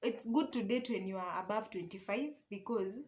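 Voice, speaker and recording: A young adult Kenyan woman chatting casually in a personal storytime, dramatic and expressive, switching languages mid-sentence and tossing out rhetorical questions. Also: medium pitch, moderate pace. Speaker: storytelling vlogger.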